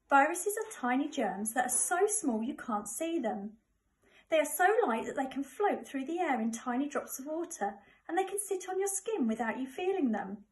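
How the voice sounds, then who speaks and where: Middle-aged English woman, notably high voice, primary school storytime read-aloud. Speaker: primary school staff member reading to pupils. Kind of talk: children's book read aloud.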